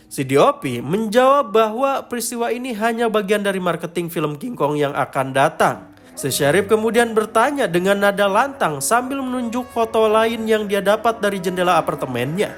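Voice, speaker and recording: High-pitched male horror story narrator. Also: animated, normal speed. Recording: music behind the voice. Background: clear background music.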